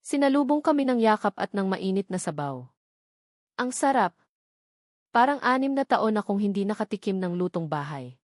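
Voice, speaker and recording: A woman with a Filipino accent reading a first-person dramatic love story in an emotive way, with short deliberate phrases. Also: mid-range voice, very animated, dry recording, clean studio sound. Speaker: story narrator.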